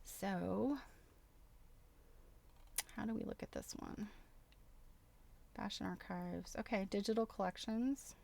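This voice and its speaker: A woman's voice: mid-range voice, takes it slowly, creaky, long pauses, dark tone. A woman hosting a casual livestream, chatting enthusiastically and thinking aloud as she walks through websites. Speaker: host of a craft livestream.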